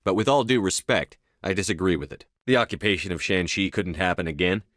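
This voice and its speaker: A man's voice: low-pitched, fairly quick, a bit bright, no room sound, clean studio sound. An actor playing a blunt, resolute male military commander in a sci-fi video game, with terse, assertive, controlled delivery. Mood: firm, composed. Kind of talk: scripted video game dialogue.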